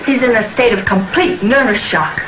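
A woman's voice: a little high, normal speed, music in the background, lightly acted. An actress playing a composed, concerned female scientific assistant, polite, in 1950s science fiction television style. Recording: roomy, dull 1950s television soundtrack.

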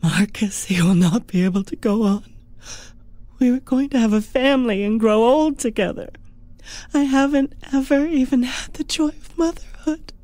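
A woman's voice: on the high side, moderate pace, dark tone, no echo, voice pushed up, close to an everyday voice. A woman voicing a frightened young married captive, desperate and pleading, in a fantasy horror audiobook. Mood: tearful pleading.

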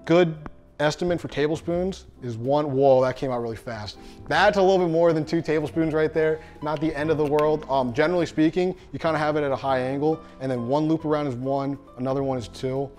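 A man's voice: fairly high pitch, a little fast, darkish tone, faint music behind. A young man hosting a casual cooking demo in his home kitchen, chatty and self-deprecating, talking to viewers as he stirs. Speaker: cooking show host.

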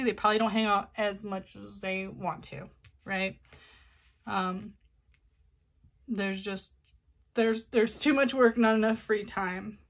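A woman hosting her own channel, talking to camera in a chatty, casual way with many fillers and restarts as she sets up a tarot reading. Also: fairly high pitch. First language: not Korean. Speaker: tarot reader, channel host.

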